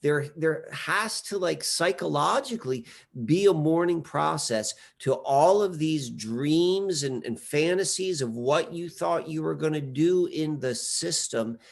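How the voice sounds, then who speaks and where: Man in his 40s, podcast guest, rambling, lots of fillers, fairly high-pitched. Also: unhurried, vocal fry, hardly any pauses. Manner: rambling, full of fillers and restarts. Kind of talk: casual conversation.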